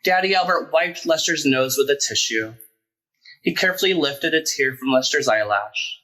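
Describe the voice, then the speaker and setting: A man reading a children's picture book aloud as a storyteller, expressive and emphatic. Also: a little high, normal speed, poor audio.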